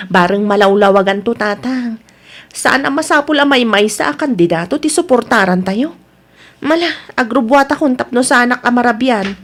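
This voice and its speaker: Woman acting a high-voiced Ilocano village vendor. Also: moderate pace, lightly acted. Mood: patient. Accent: Ilocano Filipino. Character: friendly village market vendor woman, patient cousin and daughter. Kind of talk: Ilocano radio drama acting.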